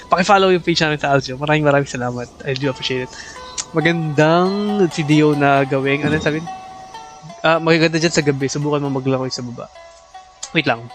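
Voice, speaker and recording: A man with a Filipino accent giving live gameplay commentary, excitable and quick to shriek and laugh. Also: fairly high pitch, soft background music. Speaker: game streamer. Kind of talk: live gameplay commentary mixing Tagalog and English.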